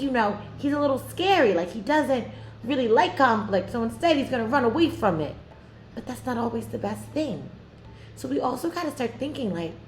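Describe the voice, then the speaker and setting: A woman teacher reading a children's story aloud and discussing it in an expressive style. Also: fairly high pitch, a little hiss or hum.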